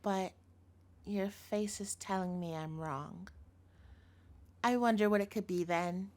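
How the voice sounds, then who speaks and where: A woman's voice: mid-range voice, takes it slowly, natural voice. A woman playing a doting, possessive yandere girlfriend in a scripted audio roleplay, coaxing and pleading in a cloying manner. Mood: hurt, probing.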